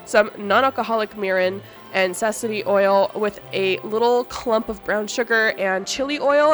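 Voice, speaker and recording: A woman hosting a cooking video in a casual, chatty way. She sounds congested from allergies. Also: mid-range voice. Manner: chatty, relaxed, talks while cooking. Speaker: cooking channel host. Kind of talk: casual cooking vlog narration.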